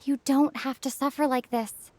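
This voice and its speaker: A woman plays a caring young lady doctor in an anime-style game. She has a high, polite, gentle voice, recorded dry and close. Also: fairly even intonation, moderate pace, dry recording, close to an everyday voice.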